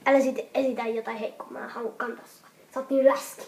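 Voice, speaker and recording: A woman's voice: a little high, flat delivery, darkish tone, voice pushed down, close to an everyday voice. A woman voicing a grumpy, impatient teenage boy in a hobby anime comedy dub, grumbling and blunt. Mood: irritated, grumbling.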